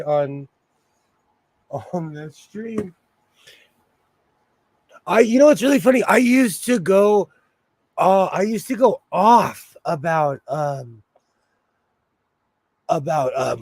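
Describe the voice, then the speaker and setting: A man hosting a casual sewing livestream, chatty and apologetic, laughing often, his voice a little hoarse. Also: high voice, a little mellow, dry recording. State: slightly hoarse, losing his voice.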